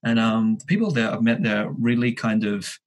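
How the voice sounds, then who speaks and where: A man in his twenties with a husky voice, chatting casually as a guest, hesitant and dryly joking. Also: fairly low pitch, steady tone, a little fast. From Sydney, Australia. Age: 20s. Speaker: podcast guest, engineering student. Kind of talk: casual chat, telling his own story.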